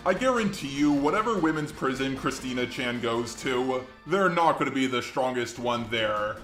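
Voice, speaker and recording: A man's voice: mid-range voice, animated, moderate pace, a bit bright. A man's synthetic robotic voice delivering scripted video commentary. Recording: echoey, music underneath. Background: background music.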